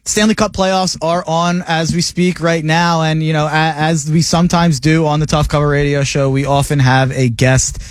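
A man hosting a sports betting radio show in a studio, rattling off his picks in a jokey, rapid-fire way. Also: a little high, little pitch movement, no echo. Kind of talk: casual sports radio talk. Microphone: studio mic.

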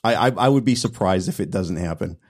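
A man with a fairly low voice talks casually as a podcast host. He sounds enthusiastic and keeps stammering and restarting his sentences as he works out his thoughts. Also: lively intonation, a little fast, breathy edge. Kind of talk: casual chat.

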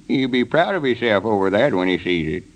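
An actor playing a proud, folksy small-town storekeeper and schemer with a rural Southern US accent, in 1930s radio comedy style. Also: male voice, medium pitch, animated, normal speed, natural voice. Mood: boastful. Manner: folksy, self-satisfied explaining.

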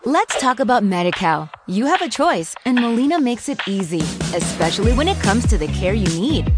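A woman's voice: on the high side, very animated, a little fast, over music. An American woman reading scripted advertisement narration as a commercial voice-over.

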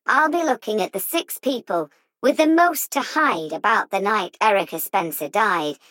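A woman reading as an insinuating true-crime podcast host, in deliberate, dramatic scripted audiobook narration. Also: mid-range voice, a bit bright, no room sound, poor audio. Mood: teasing intrigue.

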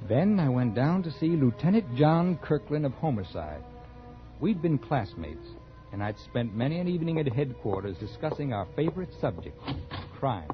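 Actor playing a calculating murderer, dull vintage radio sound. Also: male voice, medium pitch, big pitch swings, lightly acted. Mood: smug, relaxed. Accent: American. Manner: deliberate, confiding narration with studied nonchalance. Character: calculating male murderer, smooth and feigning casual ease. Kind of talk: 1940s radio acting, first-person suspense narration. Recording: dull 1940s radio recording with music.

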